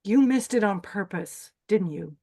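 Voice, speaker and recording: A woman's voice: medium pitch, expressive, unhurried, dark tone. A woman playing a menacing, deranged pageant host who bosses and threatens contestants in a screenplay table read. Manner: bossy, threatening.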